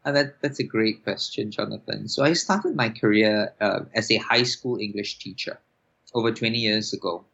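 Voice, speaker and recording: A man in his forties from Singapore, an applied linguist answering interview questions in a measured way with many fillers and restarts. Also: lowish voice, vocal fry, a bit bright, slightly rough. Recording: dull lo-fi sound.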